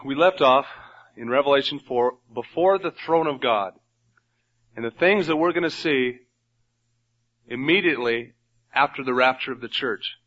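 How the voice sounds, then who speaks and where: A man's voice: medium pitch, moderate pace, long pauses, a bit bright, dull audio. A man with a nasal voice leading a Bible study in a church room, praying and then teaching in an earnest, conversational way. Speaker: pastor. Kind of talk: Bible teaching sermon with prayer.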